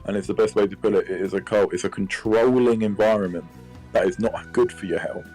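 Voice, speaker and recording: A man with a fairly low voice speaks candidly and informally. His manner is blunt and disillusioned. Faint music plays behind his words. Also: steady tone, brisk, a little mellow.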